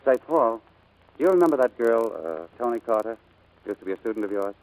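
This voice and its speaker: An actor playing a breezy, cocky, footloose pilot in a 1940s radio drama, slangy and offhand. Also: male voice, medium pitch, sing-song, a little fast, darkish tone, close to an everyday voice, rough recording. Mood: casual, evasive. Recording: vintage 1940s radio broadcast.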